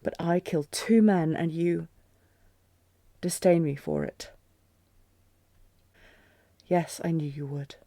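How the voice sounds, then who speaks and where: A woman plays a fantasy audio drama queen, regal and intense, holding deep torment under tight control. Her voice is dark and mellow, recorded very dry. She commands with restraint, as one in love and in pain. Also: takes it slowly, long pauses, no room sound. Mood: grave, defiant.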